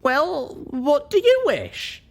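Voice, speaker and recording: A man's voice: very high voice, huge pitch swings, slow pace, gravelly, pitched above the performer's own voice, exaggerated voice. A man voicing a young female pony heroine from a storybook, flustered, earnest and caring. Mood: concerned, earnest. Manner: flustered, hesitant. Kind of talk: storybook character voice.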